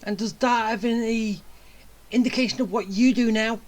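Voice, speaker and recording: A woman's voice: fairly high pitch, fairly even intonation, moderate pace. A woman giving casual, stumbling reaction commentary over a video game. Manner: informal, stumbling. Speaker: gamer commentating over gameplay.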